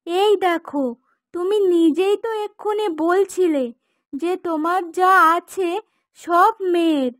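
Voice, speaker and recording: Bossy married woman for cartoon, female performer. Extremely high pitch, directive and questioning, dry sound. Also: slow pace, no room sound. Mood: persuasive. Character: curt daughter-in-law, or bossy persuasive wife. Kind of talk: cartoon dubbing.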